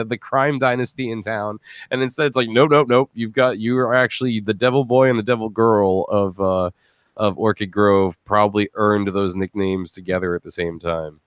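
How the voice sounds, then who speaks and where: A man hosting a casual post-show chat, telling stories in an animated, slangy, profanity-laced way. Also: mid-range voice, clean tone, no room sound, clean studio sound. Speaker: podcast host and cast member.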